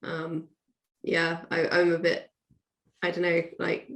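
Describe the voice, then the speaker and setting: A woman chats informally as an interview guest, feeling her way through her answers. Her voice has a noticeable creak, and the room rings around it with clear echo. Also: fairly low pitch, monotone, slow pace. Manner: chatty, informal, hedging. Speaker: author, podcast guest. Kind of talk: casual chat.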